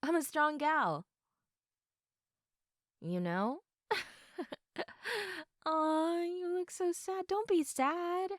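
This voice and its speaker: Slow, soft, sleepy girlfriend, acted by a woman. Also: extremely high pitch, animated, no room sound, studio quality, exaggerated voice. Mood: tender, reassuring. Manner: soft, unhurried, often giggly, dropping into whispers. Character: sleepy, affectionate, reassuring girlfriend cuddling her partner. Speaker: audio roleplay performer. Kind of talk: intimate girlfriend roleplay, sleep-aid ASMR.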